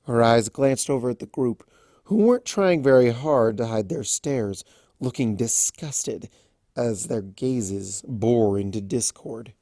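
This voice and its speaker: A man's voice: medium pitch, slow, warm tone, no echo, studio quality. A man giving a scripted narration of a story as a fan fiction reader.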